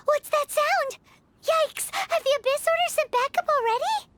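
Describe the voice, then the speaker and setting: A woman voicing a tiny, excitable, childlike fairy companion in a video game, cheeky and exclamatory. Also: very high-pitched, measured pace, background noise. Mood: alarmed. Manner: speaks of self in third person, exclamatory. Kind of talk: video game character acting. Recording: game music behind the voice.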